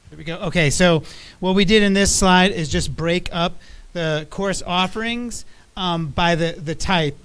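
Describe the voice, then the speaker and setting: A man with a loud, clear and smooth voice, a little high, presents slides at a public school board meeting. His delivery is casual and plainspoken.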